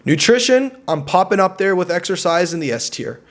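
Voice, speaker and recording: A man's voice: high voice, big pitch swings, a little fast. A young man vlogging, giving casual self-improvement advice in an emphatic way. He often repeats words and adds "right?".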